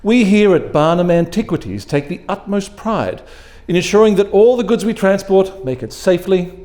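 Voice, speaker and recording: A man, reading a story, puts on a smooth swindler posing as a competent delivery man. He is overly sincere and practised, with a slightly raised, mellow voice. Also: high voice, big pitch swings, normal speed, voice pushed up. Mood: sincere, proud.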